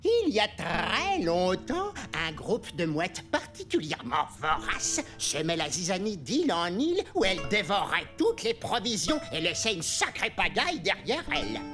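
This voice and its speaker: A woman voicing an excitable, timid pirate crewmate in a French children's cartoon, with a theatrical, exclamatory delivery. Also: on the low side, normal speed, few pauses, faint music behind. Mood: storytelling. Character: Roberto, excitable timid pirate crewmate proud of his ancestor.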